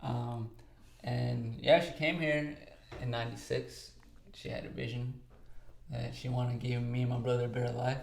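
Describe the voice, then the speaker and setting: A talkative young man from Texas chats easily in an interview, with plenty of filler words. His voice has a gravelly edge and sits at a middle pitch. The sound carries a roomy echo. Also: level tone, moderate pace. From Texas, United States. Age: 20s. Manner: talkative, easygoing, many fillers. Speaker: podcast co-host and guest. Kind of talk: casual interview chat.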